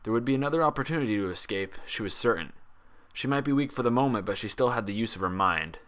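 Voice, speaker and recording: A man's voice: medium pitch, expressive, quick pace, fairly bright tone. A man reading a fan fiction story aloud in dramatic audiobook-style narration.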